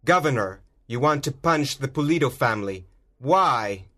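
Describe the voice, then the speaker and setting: A man reading a storybook aloud, voicing a bold, righteous masked hero in slow, simple lines. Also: medium pitch, expressive, fairly bright tone, voice pushed up, close to an everyday voice. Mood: stern. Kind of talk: graded reader audiobook.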